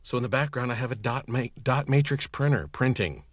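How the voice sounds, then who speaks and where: A man's voice: medium pitch, a little fast, dry recording, clean studio sound. A man in a casual chat as a podcast guest, talking in an enthusiastic, rambling storytelling way. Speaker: podcast guest, audio producer and director.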